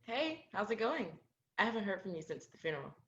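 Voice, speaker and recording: A young actress playing an upbeat, supportive teammate in a drama, speaking encouragingly. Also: female voice, mid-range voice, very animated, fairly quick, a bit bright. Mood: friendly. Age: young adult. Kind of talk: drama dialogue. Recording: dull, slightly lo-fi audio.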